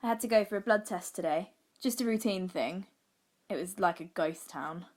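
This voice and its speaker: A woman's voice: fairly low pitch, normal speed, natural voice. A woman playing a wry, blunt character in a filmed diary monologue. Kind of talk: spoken diary monologue in a short film.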